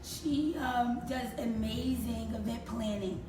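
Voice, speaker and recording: A woman in her thirties, a slightly hoarse guest on a talk show, speaking animatedly and acting out what people said to her. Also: a little high, takes it slowly, music in the background. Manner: animated, acts out quoted speech. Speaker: guest, creative consultant. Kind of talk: casual interview talk. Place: talk show set on stage, seated in armchairs. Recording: echoey room from far off, noisy.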